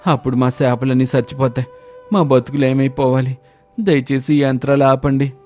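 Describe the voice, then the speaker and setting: A man's voice: mid-range voice, flat delivery, normal speed, darkish tone, faint music behind, natural voice. A man voicing a humble, anxious village fisherman with rustic, pleading speech in a moral story.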